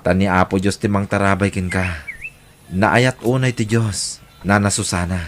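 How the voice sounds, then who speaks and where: A man with an Ilocano accent playing a gentle, devout, humble father in an audio drama, speaking in an earnest, measured way. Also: sits low, steady tone, slight background noise, close to an everyday voice. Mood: humble, forgiving. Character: warm, devout, humble elderly Filipino father.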